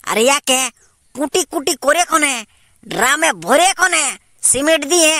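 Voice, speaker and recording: A man acts out a young secret lover in a homemade cartoon. The voice is very high, bright and dry, with a Purulia Bengali accent. He comes across as cocky and insistently plotting. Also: normal speed, dry recording. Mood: scheming. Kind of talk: amateur cartoon dialogue.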